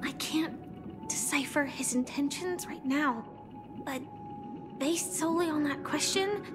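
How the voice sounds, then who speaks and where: An actress playing a quiet, thoughtful woman in a game, speaking softly and deliberately. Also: sits high. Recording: game audio with music behind.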